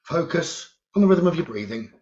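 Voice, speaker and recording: A man leading a guided meditation, speaking slowly and soothingly, repeating his gentle instructions with long pauses. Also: fairly high pitch, lively intonation. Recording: dull lo-fi recording.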